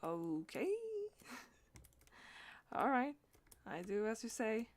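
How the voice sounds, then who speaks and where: Higher-voiced woman musing through a game. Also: animated, very slow. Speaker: game streamer. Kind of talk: live gameplay, reading dialogue aloud.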